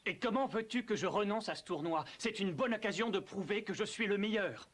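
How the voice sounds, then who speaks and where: An actor playing a brave, carefree young outlaw leader in an animated film, confident and spirited. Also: male voice, high-pitched, fairly quick. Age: young adult. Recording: loud music behind the voice. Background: music.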